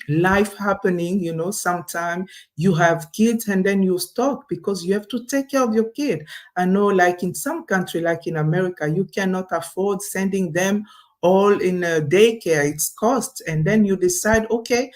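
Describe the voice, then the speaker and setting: Woman, from Congo, interview guest, chatty, fillers, video call. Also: fairly low pitch. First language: French. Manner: chatty, laughs often, many fillers and restarts. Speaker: guest, online business owner. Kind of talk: casual interview talk.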